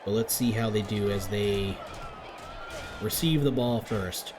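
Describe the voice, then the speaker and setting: A man with a lowish voice calls a game live, chatty and upbeat as he runs through plays. His voice is turning a little raspy from long talking. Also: unhurried, background noise. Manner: chatty, upbeat play-by-play with frequent restarts. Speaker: league commentator.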